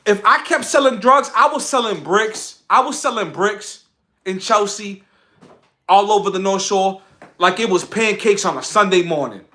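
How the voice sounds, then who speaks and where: High-voiced man, bright and forceful. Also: normal speed. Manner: emphatic, repeats phrases for effect. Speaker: social media content creator on a livestream. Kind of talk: impassioned livestream monologue to followers.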